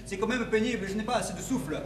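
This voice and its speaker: A man's voice: high voice, rapid-fire, close to an everyday voice. A man playing a lonely, put-upon newcomer from the mainland in improvised stage comedy, plaintive and hesitant. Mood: complaining. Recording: echoey hall from far off, noisy.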